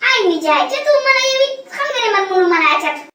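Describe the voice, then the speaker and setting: A performer voicing a teasing, squeaky wife with a Senegalese accent in a comedy cartoon. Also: big pitch swings, rapid-fire, pushed and bright, exaggerated voice. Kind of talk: cartoon voice acting in squeaky falsetto. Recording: roomy, distant, background chatter.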